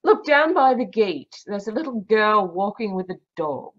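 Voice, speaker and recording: A woman playing an anxious, tender doctor's wife with a gently pleading manner in a radio mystery. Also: mid-range voice, sing-song, moderate pace. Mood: concerned. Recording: dull, distant online-call audio.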